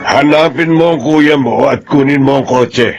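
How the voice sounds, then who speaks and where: A man's voice: mid-range voice, a little slow, muffled recording, loud music behind the voice, lightly acted. An actor playing a stern, disappointed father in a Filipino radio drama, with a Filipino accent. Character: stern, disappointed father of two grown sons.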